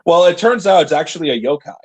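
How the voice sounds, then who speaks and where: A man's voice: fairly high pitch, expressive, fast. A man co-hosting a podcast, chatting casually in an easygoing way and adding bits of trivia. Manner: easygoing, laughs along, adds trivia. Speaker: podcast co-host. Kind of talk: casual chat about a TV series.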